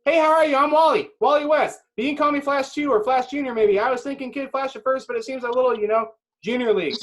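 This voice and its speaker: Guy doing hyper teen boy sidekick hero, high voice, chatters on, awkward and super eager. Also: fast, low-quality recording. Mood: excited, chatty. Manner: excitable, chattering. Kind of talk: amateur superhero script table read.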